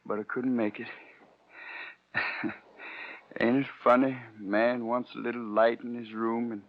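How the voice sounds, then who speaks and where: An actor playing a weary, bitter poor man who is dying, speaking weakly and haltingly in folksy slang in a 1940s radio drama. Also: mid-range voice, little pitch movement, close to an everyday voice. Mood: weary, wry. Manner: weak, halting, folksy slang, wryly mocking. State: weak, failing breath. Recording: dull vintage radio recording.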